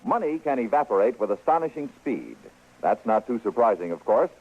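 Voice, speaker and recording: An American male radio announcer of the old school, with an animated, swooping delivery and formal phrasing. His voice is husky, coming through a thin, phone-like recording. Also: mid-range voice. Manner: formal, persuasive, deliberate phrasing. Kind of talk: scripted vintage radio public-service announcement.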